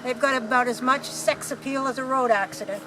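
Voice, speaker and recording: A woman playing a brisk, knowing space-travel companion in an amateur radio play, with a wry manner. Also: high voice, natural voice. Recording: clearly noisy amateur recording.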